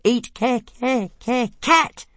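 Tiny tantrum-prone dragon for a storybook: piercingly high, stuttering, demanding, greedy. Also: male voice, slow, a bit bright, no echo, heavily acted. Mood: frantic, greedy. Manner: stammering, demanding. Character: tiny, greedy, bad-tempered stammering male baby dragon. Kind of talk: dramatised children's audiobook.

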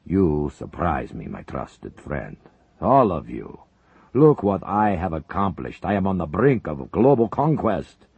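A man voicing a ruthless older Soviet leader who talks slowly and menacingly, quick to rage, in an audiobook. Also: low voice, very animated, gravelly, breathy edge, no echo, phone-quality sound, full character voice. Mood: grandiose. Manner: slow, menacing, prone to rage.

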